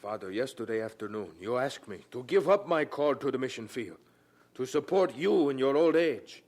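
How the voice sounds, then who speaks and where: A man's voice: medium pitch, lively intonation, moderate pace, a little mellow. A man playing an earnest, defiant young son in a radio drama, pleading and questioning insistently. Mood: pained, resolute. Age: young adult.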